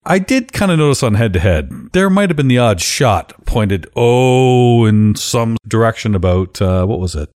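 A man hosting a casual podcast chat, with a deep voice and a joking, blunt way of talking that drops casual swearing. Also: lively intonation, normal speed, hardly any pauses, darkish tone. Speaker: podcast host.

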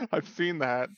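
A man's voice: on the high side, fairly bright tone, dry recording, highs cut off. A man co-hosting a podcast in casual chat, teasing the others and laughing often. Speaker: podcast co-host.

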